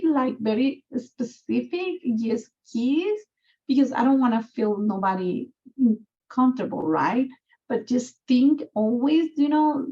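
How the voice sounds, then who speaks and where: A woman's voice: a little high, a little mellow. Earnest Guatemalan woman on a muffled call. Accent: Guatemalan Spanish. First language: Spanish. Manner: earnest and talkative, with non-native grammar. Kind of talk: video-call community conversation. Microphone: video call.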